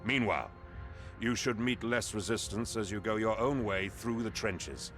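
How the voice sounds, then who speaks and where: An actor playing a solemn, resolute leader of holy warriors in a fantasy game, formal and deliberate in his speech. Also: fairly low pitch, fairly bright tone, natural voice. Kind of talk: video game voice acting. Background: game music.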